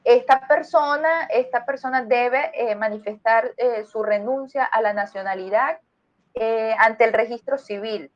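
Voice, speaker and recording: A woman's voice: on the high side, unhurried. Venezuelan woman lecturing over lo-fi voice chat. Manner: explanatory, addresses students directly with everyday examples. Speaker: university lecturer. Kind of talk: online class lecture. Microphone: voice chat on a phone or computer. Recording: dull lo-fi voice chat audio.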